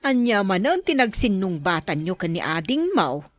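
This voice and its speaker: A woman's voice: medium pitch, sing-song, normal speed, no room sound, natural voice. A woman with an Ilocano accent playing a reproving mother who admonishes her grown children in a family drama. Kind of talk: radio-style family drama acting.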